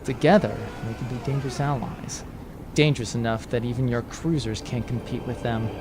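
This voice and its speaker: A man playing a cool, calculating schemer in a space opera audio drama, speaking in a measured, grave and controlled way. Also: mid-range voice, steady tone, over music, lightly acted. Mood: scheming. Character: cool, calculating male schemer hunting a treasure vault.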